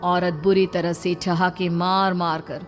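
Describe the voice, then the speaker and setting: A woman's voice: lowish voice, level tone, unhurried, over music. A woman narrator reading a scripted horror story in a dramatic style.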